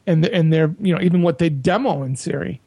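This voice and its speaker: Gravelly, husky man chatting animatedly. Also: a little fast, no echo. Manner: animated, folksy, jumps to higher pitch when joking. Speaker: podcast panelist. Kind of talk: casual tech chat.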